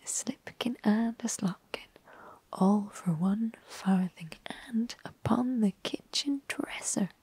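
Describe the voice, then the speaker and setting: A woman's voice: mid-range voice, takes it slowly, darkish tone, slightly rough, dry recording, full character voice. A woman voicing a chorus of tiny, cheeky male mice singing rhymes in a whisper. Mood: playful. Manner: whispered sing-song.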